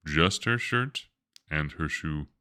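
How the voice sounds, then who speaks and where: A man's voice: extremely low pitch, lively intonation, slow, sharp tone, exaggerated voice. A man voicing a weary, worried storybook mother in a plaintive, resigned manner. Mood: sad, weak.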